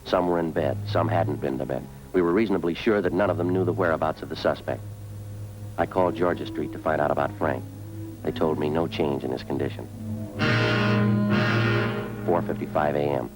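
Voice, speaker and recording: An actor with an American accent playing a stoic, dogged police detective, terse and deadpan, in the style of fifties radio drama. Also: male voice, extremely low pitch, brisk, pauses often, over music, rough recording. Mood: grim, matter-of-fact. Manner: terse, deadpan, matter-of-fact. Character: stoic, dogged male police detective, quietly worried for partner. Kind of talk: 1950s radio police drama, clipped dialogue and scripted narration.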